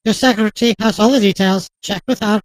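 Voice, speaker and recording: An actress plays a stern, bossy woman doctor in a radio drama. Her voice is medium in pitch and recorded dry, and she talks in a sharp-tongued, no-nonsense way. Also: brisk, no room sound, lightly acted, low-quality recording. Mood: businesslike. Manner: commanding, no-nonsense.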